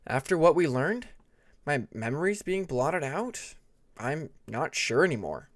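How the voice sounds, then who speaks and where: Narrator acting out a young male dragon, curious, unsure, hesitant, questioning, lively pitch, fantasy audiobook. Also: measured pace. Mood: troubled.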